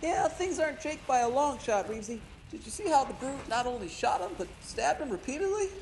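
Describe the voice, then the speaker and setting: A woman's voice: sits high, very animated, fairly quick, a little mellow, slight background noise, low-quality recording. A woman playing a perky young rookie police officer in an old-time radio drama, pert and slangy.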